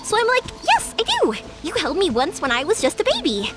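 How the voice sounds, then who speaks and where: Game role: a bright, friendly young fireworks maker. High, animated voice, chatty storyteller. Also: female voice, brisk, loud music behind the voice, exaggerated voice. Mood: cheerful. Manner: lively, chatty and warm-hearted storyteller. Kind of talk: video game voice acting.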